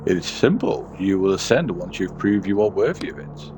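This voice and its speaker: A man voicing a solemn, pious ship's navigator in a formal, preachy, measured way for a game. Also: sits low, lively intonation, background noise.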